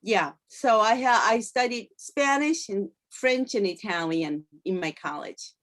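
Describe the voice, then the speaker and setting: A woman's voice: mid-range voice, animated, measured pace, fairly bright tone. A middle-aged woman from Japan with a Japanese accent, a native Japanese speaker, chatting enthusiastically as an interview guest with hesitant phrasing. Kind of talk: casual conversational interview.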